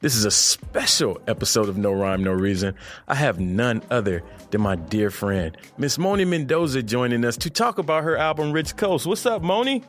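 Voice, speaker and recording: A man hosting an interview podcast, talking in a laid-back, slangy, lively way. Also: medium pitch, normal speed, faint music behind. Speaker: podcast host. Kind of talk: casual interview chat.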